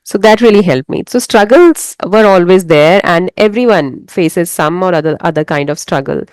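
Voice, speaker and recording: An Indian woman with an Indian English accent, a Hindi speaker and English teacher, hosting a casual interview in a calm, encouraging way. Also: on the low side, normal speed, smooth, no echo, low-quality recording. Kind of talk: casual video-call interview.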